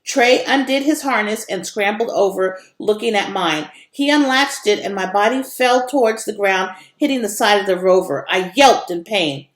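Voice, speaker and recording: A woman's voice: mid-range voice, lively intonation, normal speed, few pauses. A woman narrating a children's story expressively, in a roomy, echoing recording. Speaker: read-aloud narrator.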